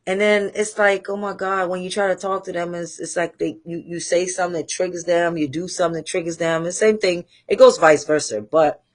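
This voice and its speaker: A woman with a Trinidadian accent hosting a live talk show, speaking candidly and rambling with pauses to find her thread. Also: fairly low pitch, fairly even intonation. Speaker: host. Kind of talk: casual livestream monologue.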